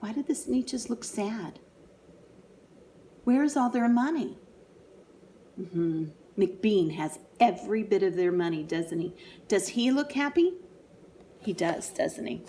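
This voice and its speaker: A woman reading a picture book aloud to young children in an animated, sing-song way, pausing for asides and questions. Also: medium pitch, a little hiss or hum.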